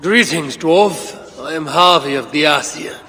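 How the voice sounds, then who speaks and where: An actor playing a proud, wry Norse god in middle age in a video game, speaking in measured, dry, archaic phrases. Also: male voice, on the high side, sharp tone. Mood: musing. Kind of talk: game cutscene acting. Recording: long hall reverb, faint background noise.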